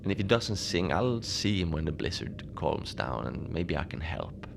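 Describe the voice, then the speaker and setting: A man playing a lonely, unbothered polar station keeper, telling strange tales in a halting, understated, conversational way for a fiction podcast. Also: a bass voice, creaky, heavily acted. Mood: worried, fond. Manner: halting, conversational, understated storytelling with small hesitations. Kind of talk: cozy horror audio fiction monologue. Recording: steady noise behind the voice.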